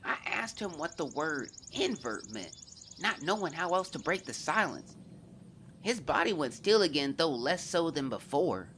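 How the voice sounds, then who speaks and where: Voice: medium pitch, sing-song, measured pace, fairly bright tone, a little hiss or hum. An actor voicing a young boy who earnestly narrates events into his journal in a scripted audio drama. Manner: earnest, confiding, storytelling.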